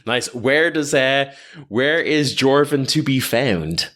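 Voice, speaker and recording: A man narrating a tabletop game as game master, improvising casually and haltingly with plenty of "like". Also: medium pitch, fairly bright tone. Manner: halting, casual, many likes.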